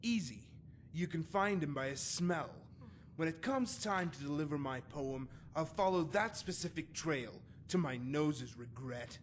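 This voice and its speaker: A man's voice: fairly high pitch, lively intonation, measured pace, slight background noise. A man playing a haughty, prickly poet and bard in a fantasy game, speaking in a florid, pompous, scornful way. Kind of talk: scripted fantasy game dialogue.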